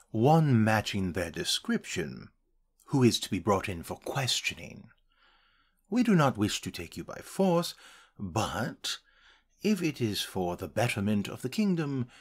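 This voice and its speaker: A man playing a pompous, contemptuous High Elf soldier in a fantasy roleplay, formal and sneering. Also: very animated, unhurried, slightly rough, full character voice. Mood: official, menacing. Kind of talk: fantasy roleplay audio drama.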